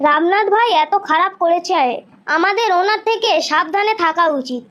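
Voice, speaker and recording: A sensible village farmer's wife, played by a woman, speaks in a very high voice. She is earnest and supportive, as in a children's cartoon. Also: normal speed, a bit bright. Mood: cautious. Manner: earnest, advising.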